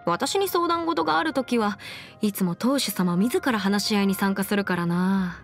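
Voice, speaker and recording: A woman's voice: mid-range voice, normal speed, natural voice. A woman voicing a confident, clever young female legal advisor in an anime game, articulate and slightly boastful, with playful pride. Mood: admiring. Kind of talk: Japanese anime game character voice lines. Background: music.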